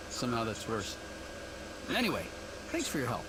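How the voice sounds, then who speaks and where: A man's voice: mid-range voice, huge pitch swings, normal speed, background noise. An actor voicing a young male sidekick in a game, sheepish, wry and grateful. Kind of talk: scripted game dialogue.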